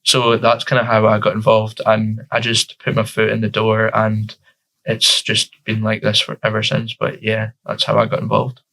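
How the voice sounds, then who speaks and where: A man's voice: fairly low pitch, completely flat delivery, normal speed, vocal fry, sharp tone, poor audio. A teenage boy with a Scottish accent, answering interview questions informally as a youth councillor, with frequent fillers. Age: teens.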